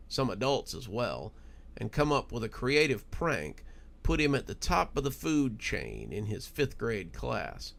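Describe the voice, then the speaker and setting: Guy reading a spooky story, gravelly voice, really animated dramatic delivery. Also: on the low side. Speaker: horror story narrator and channel host.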